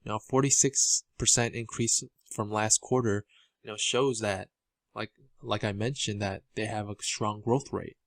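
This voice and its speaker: A man giving informal financial commentary as a video host, halting and often repeating words as he explains. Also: on the low side, steady tone, no room sound. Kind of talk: informal financial commentary over documents on screen.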